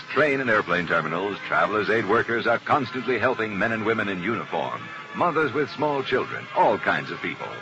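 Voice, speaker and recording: Earnest 1950s male radio spokesman, lower voice. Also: poor audio. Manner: earnest, persuasive. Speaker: commercial announcer. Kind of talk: 1950s radio public service ad.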